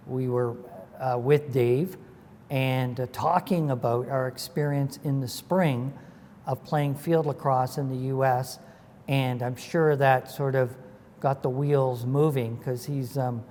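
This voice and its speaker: A man in his sixties who speaks slowly and haltingly as an interview guest, with lots of fillers. His voice trails into a long reverb, and a faint hiss sits under it. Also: medium pitch, steady tone, a little mellow. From Ontario, Canada. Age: 60s. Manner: slow and halting, many uh and um fillers. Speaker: interview guest, former lacrosse organizer. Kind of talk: recalling past in interview.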